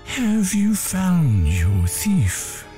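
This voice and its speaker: A man's voice: high voice, wildly swooping pitch, very slow, warm tone, soft background music, exaggerated voice. A man playing a sly imperial chancellor in an audio drama, courtly, insinuating and gloating. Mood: smooth insinuation.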